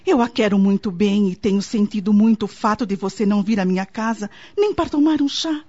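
A woman with a Brazilian accent playing a caring, protective middle-aged mother-in-law in a radio soap opera, speaking in a measured, theatrical, motherly way. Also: medium pitch, darkish tone, close to an everyday voice. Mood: motherly concern. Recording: dull lo-fi radio drama, music underneath.

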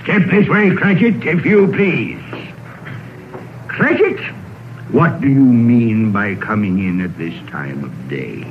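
An older actor playing an excitable old miser in a vintage radio drama, theatrical and stammering. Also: male voice, mid-range voice, takes it slowly. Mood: gruff, mock stern. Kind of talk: 1930s-40s radio drama acting. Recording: old radio broadcast, narrow band, music.

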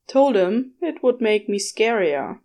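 A woman voicing a laconic, sceptical male demon, deadpan and drawling, in an audiobook. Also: medium pitch, takes it slowly. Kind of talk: audiobook character voice.

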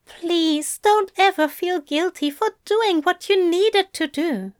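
A woman's voice: very high-pitched, slow pace, dry recording, clean studio sound. A woman voicing a tender, teasing demon. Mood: tender reassurance. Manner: soft, coaxing, earnest. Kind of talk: audiobook fan fiction reading.

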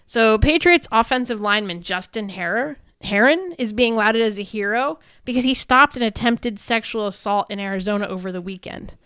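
A woman's voice: a little high, normal speed, hardly any pauses, fairly bright tone, smooth, no echo, clean studio sound. A woman co-hosting a sports talk show, chatting casually and giving her opinions as she recaps the news.

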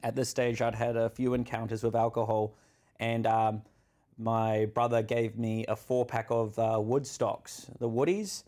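A man's voice: medium pitch, darkish tone. A man in his thirties with a mid-range voice, talking candidly as a podcast guest. He rambles through his stories with plenty of fillers and laughs along the way. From New Zealand. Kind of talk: candid personal storytelling.

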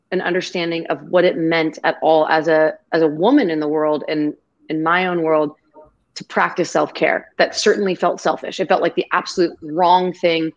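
A woman with a low voice speaks as a guest over a video call. She talks in an effusive, grateful and rambling way. The audio is dull and lo-fi. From half Greek, half Irish family. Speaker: podcast guest. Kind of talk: casual video-call interview.

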